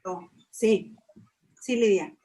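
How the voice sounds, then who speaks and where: A woman's voice: mid-range voice, extremely slow, dark tone, rough recording. A middle-aged woman speaking casually in a church discussion, thinking aloud with small fillers. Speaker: church class participant. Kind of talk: casual conversation.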